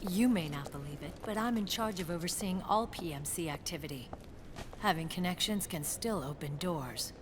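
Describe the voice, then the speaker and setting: Actress as female commander for a game cutscene: lowish voice, brisk, authoritative, over noisy ambience. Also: expressive, natural voice. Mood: assured, wry. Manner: assured, commanding. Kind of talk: video game cutscene acting. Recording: clear background noise and ambience.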